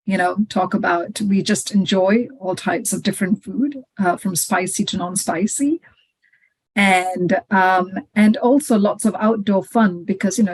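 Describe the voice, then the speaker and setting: A woman's voice: medium pitch, a little mellow, poor audio. A middle-aged woman from Malaysia, a podcast guest telling her story in a reflective, conversational way with frequent "you know" fillers. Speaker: podcast guest, head of legal.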